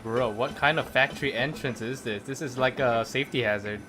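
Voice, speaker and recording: A man's voice: medium pitch, fairly quick, music in the background. A man livestreaming a video game, giving slangy, offhand commentary as he chats to viewers. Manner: slangy, offhand, muttering to chat. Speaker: livestreamer, VTuber. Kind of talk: casual gameplay stream commentary.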